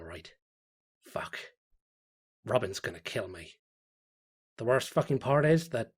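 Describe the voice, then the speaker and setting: Man acting a husky, drunk, bitter fisherman. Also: medium pitch. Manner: grumbling, swearing. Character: drunk, bitter, foul-mouthed small-town fisherman writing his diary. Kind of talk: first-person diary narration in a horror audio story.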